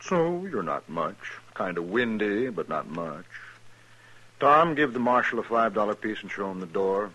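An actor playing an insolent, menacing outlaw gang boss with a mocking manner in a 1950s radio western. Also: male voice, on the low side, very animated, moderate pace, sharp tone, heavily acted, poor audio. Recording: old 1950s radio broadcast.

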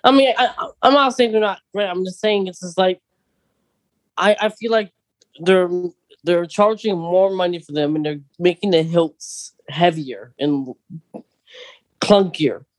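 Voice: mid-range voice, a little slow. A podcast co-host chatting casually and conversationally about fandom news, often putting questions to a partner.

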